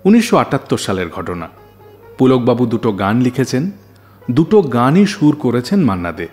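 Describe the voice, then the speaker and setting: Animated male radio storyteller over music. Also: medium pitch, moderate pace. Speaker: radio show host.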